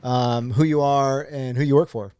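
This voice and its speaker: A man hosting a casual podcast interview, asking questions haltingly with frequent restarts. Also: mid-range voice, steady tone, warm tone, clear, resonant tone, dry recording, clean studio sound. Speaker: podcast host.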